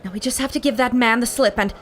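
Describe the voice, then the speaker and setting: A woman's voice: on the high side, quick pace, natural voice. A woman playing a bold, plucky adventurer in a radio drama, earnest and assertive. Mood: tense, determined.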